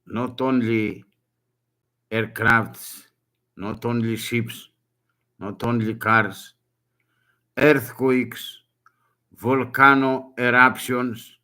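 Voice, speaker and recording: Older Greek-accented man, slow urgent appeal. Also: mid-range voice, flat delivery, bright, forceful tone. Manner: broken English in short repeated phrases. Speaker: self-made video appellant. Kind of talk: urgent public appeal monologue.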